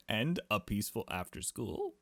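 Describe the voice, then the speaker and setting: A man's voice: fairly low pitch, huge pitch swings, a little slow, gravelly, a bit bright, no echo, clean studio sound. A man hosting a video game playthrough with casual, rambling commentary and loose conversational asides.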